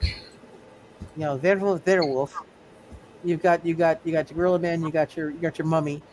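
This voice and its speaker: A man narrating a tabletop roleplaying game as game master, speaking haltingly and often repeating his words. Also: mid-range voice, flat delivery, darkish tone, a little hiss or hum, rough recording.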